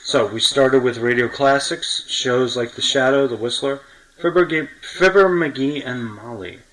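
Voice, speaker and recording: A man's voice: mid-range voice, a little slow, fairly bright tone, rough recording. A man hosting a podcast, talking casually and explaining his opinions at length. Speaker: podcast host.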